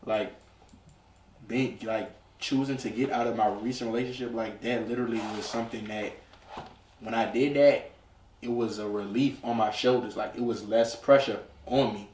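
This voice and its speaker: A man's voice: mid-range voice, fairly even intonation, normal speed, darkish tone. A nineteen-year-old man in a casual, slangy podcast conversation, speaking emphatically and repeating himself for emphasis. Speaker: podcast co-host. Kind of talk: casual group chat about relationships. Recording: echoey room, distant mic.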